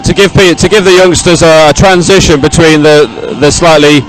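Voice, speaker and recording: A man doing unscripted live sports reporting, halting often as he searches for words. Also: a little high, fairly even intonation, faint music behind, some chatter behind, rough recording. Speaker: presenter reporting from the event.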